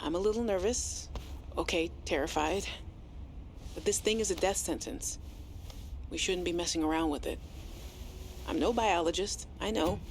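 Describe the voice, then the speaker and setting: A woman playing an earnest, anxious but quietly defiant scientist in a video game audio log, hesitant and confiding. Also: lowish voice, normal speed, pauses often, a little hiss or hum, lightly acted. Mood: nervous, resolute.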